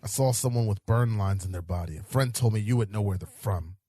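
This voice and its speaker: A man playing a world-weary, secretive police detective in a hard-boiled sci-fi audio drama, terse and deadpan, over faint noise. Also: on the low side, a little fast, slightly rough, no echo, clean studio sound. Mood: stern, dismissive.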